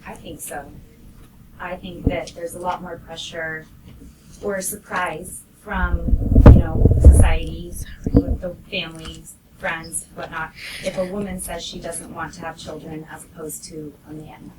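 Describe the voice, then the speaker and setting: A woman's voice: fairly low pitch, level tone, a little slow. A woman speaking casually as a panelist in a podcast discussion, recorded with noise around her.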